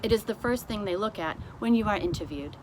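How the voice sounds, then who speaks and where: A woman reading a children's story aloud as scripted narration, with deliberate, expressive phrasing. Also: medium pitch. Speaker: storybook reader. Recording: clearly noisy amateur recording.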